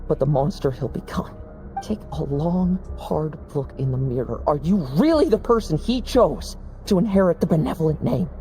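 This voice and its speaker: A blunt, stern knight. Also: male voice, fairly high pitch, animated, moderate pace, mellow, a little hiss or hum. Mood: cold rebuke. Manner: blunt, lecturing. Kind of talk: game cutscene voice acting.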